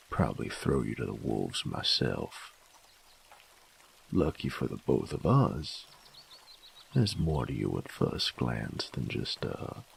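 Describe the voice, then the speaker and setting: A man playing a very deep, gravelly sadistic bandit. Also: slight background noise, full character voice. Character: cocky, possessive, sadistic male bandit, rough-spoken and seductive. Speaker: voice actor. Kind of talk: intimate audio roleplay.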